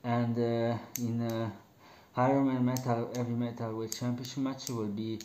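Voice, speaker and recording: A man's voice: medium pitch, level tone, unhurried, warm tone, slight background noise. A young man from Italy with an Italian accent, hosting a casual wrestling talk in English, with many fillers and restarts. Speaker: wrestling commentary host. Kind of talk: casual solo talk to camera. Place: small bedroom, indoors. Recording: roomy, dull lo-fi webcam sound.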